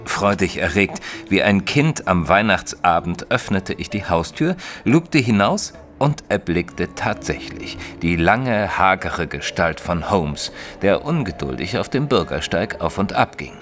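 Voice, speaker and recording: A deep-voiced bookseller storyteller. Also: male voice, expressive, fairly bright tone. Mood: measured storytelling. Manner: measured, literary storytelling. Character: eager bookseller narrating his detective adventures, 19th century. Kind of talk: scripted first-person audio-drama narration. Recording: studio voice over light music.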